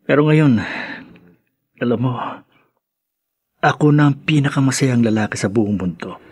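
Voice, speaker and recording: A remorseful, loving husband and father in a radio drama. His mid-pitched voice is husky, tender and sentimental. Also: expressive, moderate pace, a little mellow. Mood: remorseful, grateful. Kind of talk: Filipino radio drama acting.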